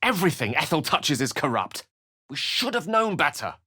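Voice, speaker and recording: An actor playing a learned, dramatic wizard adventurer in a video game, speaking in an articulate, theatrical storytelling manner. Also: male voice, fairly high pitch, very animated, moderate pace, sharp tone, husky, no echo, clean studio sound, full character voice. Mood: exasperated. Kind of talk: scripted video game character lines and narration.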